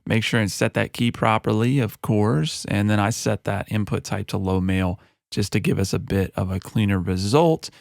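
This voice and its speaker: A man with a lowish voice talks through his mixing session in a relaxed, conversational way, leaning on easy fillers. He is close on a broadcast studio mic in a home studio, and the sound is dry, with no room around it. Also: moderate pace, gravelly, dry recording. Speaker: music producer and recording artist. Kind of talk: mixing tutorial walkthrough. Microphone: broadcast studio microphone.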